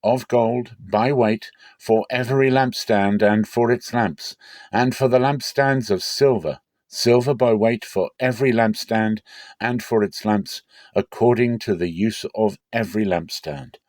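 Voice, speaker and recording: A man giving a dramatic scripted Bible reading in a solemn, deliberate, declamatory way. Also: on the low side, a little mellow, dry recording, studio quality. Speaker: Bible reader.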